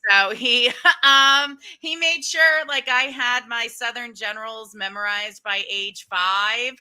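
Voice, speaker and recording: A woman's voice: fairly high pitch, steady tone, slow, bright, forceful tone, clean tone. A woman from Connecticut, a tour business owner, telling stories with frequent laughter as a podcast guest. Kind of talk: casual interview.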